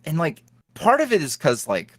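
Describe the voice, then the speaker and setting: A man guest on a podcast, an ASMR roleplay creator, talking candidly in a rambling, filler-heavy way that swings from lively bursts to low mutters. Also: moderate pace, no echo. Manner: swings between animated highs and low mutters. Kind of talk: casual interview chat.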